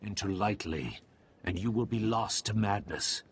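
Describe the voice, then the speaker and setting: Husky, creaky alien mystic guide. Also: male voice, lowish voice, animated, full character voice. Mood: ominous. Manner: measured, terse, ominous pronouncements. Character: grave male alien mystic guide, cryptic and calm. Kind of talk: video game cutscene acting.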